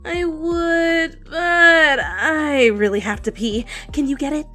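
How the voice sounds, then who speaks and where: A woman's voice: high-pitched, slow, bright, forceful tone, soft background music, pitched above the performer's own voice, heavily acted. A woman voicing a bubbly, teasing young woman roommate with a dramatic, pouty delivery in a fan fiction reading. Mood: whiny urgent.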